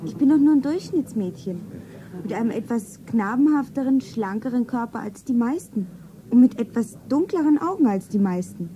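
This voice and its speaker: A woman's voice: fairly high pitch, mellow, close to an everyday voice. An actress playing a naive, cheeky young shop girl in a girlish, artless manner in a radio play. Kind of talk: 1970s German radio play acting. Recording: noisy 1970s radio play recording.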